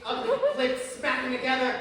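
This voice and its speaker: A woman's voice: sits high, lively intonation, normal speed, a crowd in the background. A woman playing a dramatic fairy-tale storyteller, narrating in a live puppet show. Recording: echoey hall, distant mic, background noise.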